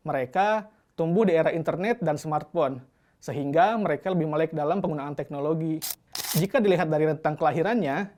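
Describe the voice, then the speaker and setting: A narrator speaks in a relaxed, conversational tone at a middle pitch. The delivery sounds scripted but chatty, and it often turns into questions. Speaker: narrator and off-camera interviewer.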